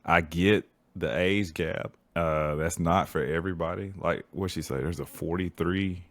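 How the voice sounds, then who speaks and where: Deep-voiced man in his forties. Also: fairly even intonation, a little slow, fairly bright tone. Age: 40s. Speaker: podcast co-host. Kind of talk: casual chat.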